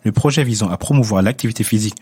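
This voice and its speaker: A man's voice: fairly low pitch, racing, darkish tone, slightly rough, no room sound. A man presenting a radio report with scripted narration.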